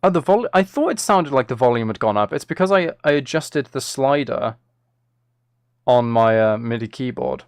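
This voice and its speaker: A man's voice: mid-range voice, lively intonation, normal speed, no echo, studio quality. A man chatting casually on a live stream, playful and self-deprecating, thinking aloud as he works. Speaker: live streamer and music maker.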